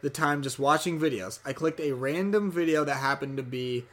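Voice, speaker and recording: A man co-hosting a casual podcast, reading listener messages aloud with upbeat shout-outs and easy laughter. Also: on the high side, moderate pace. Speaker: podcast co-host.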